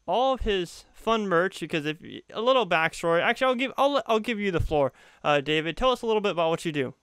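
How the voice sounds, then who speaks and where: A young man hosting a casual video-call show, upbeat and quick to laugh. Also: high voice, animated, fairly bright tone, clean tone, dry recording. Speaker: podcast host. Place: home room, video call. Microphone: studio condenser mic on stand.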